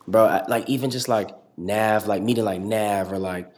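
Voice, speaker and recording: A man's voice: lowish voice, steady tone, moderate pace, a little mellow. A young man in his twenties, a rapper guest talking casually and profanely in an interview, rambling with lots of fillers.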